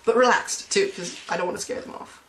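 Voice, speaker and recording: A woman's voice: medium pitch, fairly quick, heavy background noise. A young woman in her twenties chats in a casual comic vlog manner. She is playful and self-mocking, with a mid-range voice, and the webcam sound carries plenty of room echo. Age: 20s. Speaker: comedy vlogger. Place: small room at home. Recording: roomy home webcam sound.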